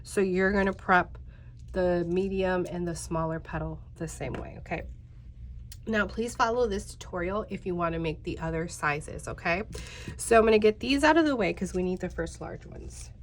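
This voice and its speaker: A woman's voice: lowish voice, normal speed, a little hiss or hum. Chatty, stuffy-nosed woman crafter. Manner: chatty, talks straight to viewers, many fillers. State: congested, slightly nasal. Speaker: crafting tutorial host. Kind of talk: casual step-by-step craft tutorial.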